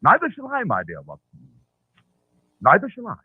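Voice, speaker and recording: An actor playing a cool, clever gentleman detective with an urbane, clipped manner in a 1940s radio drama. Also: sits high, huge pitch swings, moderate pace. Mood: self-satisfied. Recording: tinny, dry vintage radio recording.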